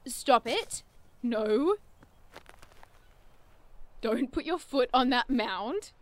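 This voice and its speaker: Earnest, high-voiced curious investigator. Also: female voice, expressive, extremely slow. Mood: excited. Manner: earnest, wordy, dryly playful. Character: curious, bookish woman investigator of cryptids, enthusiastic about nature. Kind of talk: audio drama acting, naturalistic dialogue.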